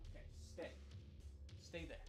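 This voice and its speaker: A man's voice: unhurried, music in the background. A man giving casual live gameplay commentary, muttering to himself as he plays. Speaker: video game streamer.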